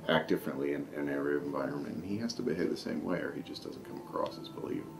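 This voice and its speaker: A man's voice: very deep voice, racing, creaky, noisy background. A man speaking in a behind-the-scenes film interview about his work. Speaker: film animation crew interviewee.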